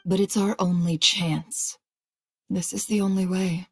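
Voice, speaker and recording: Brief: proud, resolute boss woman, lowish register, measured and wry, game cinematic drama with music underneath. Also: flat delivery. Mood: grave, resolved. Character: composed, proud, resolute woman who leads a secret organization. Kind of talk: dramatic game cutscene acting.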